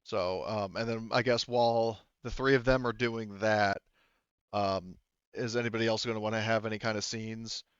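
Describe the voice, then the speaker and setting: A man talking casually as game master to his players in a halting, hedging way. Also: on the low side, steady tone, smooth, dry recording, highs cut off. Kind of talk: casual game-running talk among players. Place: online voice session.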